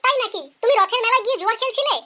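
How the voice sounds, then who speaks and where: A performer voicing a strict, bossy female schoolteacher who scolds and questions pupils in an animated comedy skit. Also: very high-pitched, rapid-fire, heavily acted. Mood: questioning.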